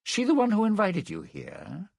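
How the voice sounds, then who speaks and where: A man's voice: high voice, huge pitch swings, moderate pace, gravelly, darkish tone, dry recording, studio quality, exaggerated voice. A man playing a slick, cynical lounge singer turned scheming survivor in a video game, with smug, theatrical showbiz patter and an edge of menace. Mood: smooth menace.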